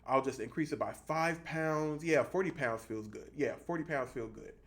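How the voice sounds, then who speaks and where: Gravelly man in his thirties, explaining casually. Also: on the high side, moderate pace, fairly bright tone. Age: 30s. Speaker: fitness vlogger. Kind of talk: casual explanatory monologue to camera.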